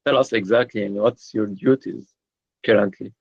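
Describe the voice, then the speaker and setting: A man's voice: on the low side, fairly even intonation, normal speed, husky, no echo. A man hosting a casual online chat, hesitant, with many filler sounds. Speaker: host, community organizer. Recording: dull lo-fi call audio.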